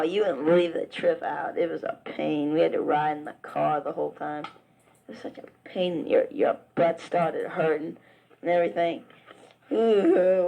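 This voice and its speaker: A man's voice: fairly high pitch, gravelly, dark tone, rough recording. A teenage boy from Virginia with a Southern accent, chatting rambly to a friend back home in a spoken letter, full of "uh" and restarts. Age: teens. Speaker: high school wrestler.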